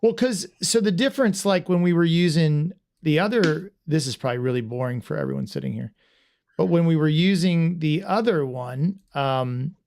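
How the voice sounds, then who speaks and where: A man's voice: fairly high pitch, moderate pace, creaky, a little mellow. A man hosting a casual livestream podcast, rambling and self-deprecating with lots of restarts. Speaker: podcast host.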